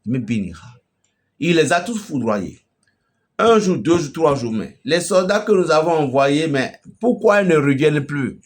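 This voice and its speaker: A man from Benin with a Beninese French accent, preaching an emphatic, storytelling message to a fellow pastor. His voice swells loudly at the climaxes. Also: fairly high pitch, animated, measured pace. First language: a local Beninese language.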